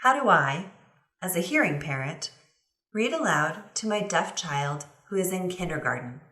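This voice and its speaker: Female voice-over interpreter, lowish register. Measured instructional delivery, clear phrasing, strong room echo. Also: very animated, sharp tone. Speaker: voice-over interpreter for a signing presenter. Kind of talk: instructional voice-over translating signed presentation. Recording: roomy, reverberant sound.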